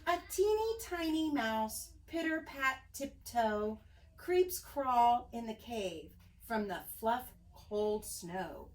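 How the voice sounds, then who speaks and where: A woman reading a picture book aloud like a preschool teacher, expressive and sing-song for young children. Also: fairly high pitch, slow.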